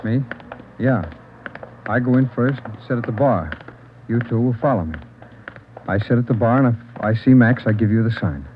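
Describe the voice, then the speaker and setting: A man's voice: medium pitch, normal speed, pauses often, slight background noise, natural voice. A man playing a nervous, self-serving American underworld informant in a vintage radio crime drama. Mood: nervous, eager. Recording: tinny, band-limited old radio recording.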